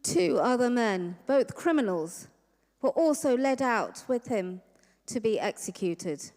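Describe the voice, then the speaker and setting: A woman narrates scripture in a measured way, phrase by phrase. Her voice is fairly high and unhurried, with the long reverb of a large church hall. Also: expressive, darkish tone. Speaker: Passion reader.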